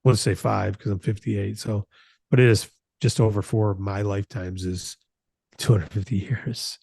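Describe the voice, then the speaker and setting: A man's voice: on the low side, fairly even intonation, moderate pace, vocal fry, a little mellow, husky, no room sound. An American man in his late fifties giving an informal motivational business talk, earnest and conversational, repeating phrases for emphasis. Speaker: company founder hosting a broker announcement meeting.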